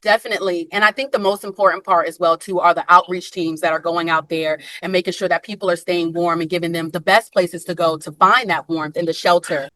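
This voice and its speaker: A woman's voice: lowish voice, steady tone, brisk, hardly any pauses, bright, forceful tone, no room sound. An American woman working as a local news reporter, asking questions in a live interview. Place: outdoors reporting on snowy roads.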